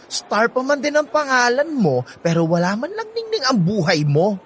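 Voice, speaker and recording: A woman's voice: a little high, sing-song, normal speed, slight background noise. A woman with a Filipino accent playing a campy, teasing, easygoing sibling in a radio drama, theatrical and playful.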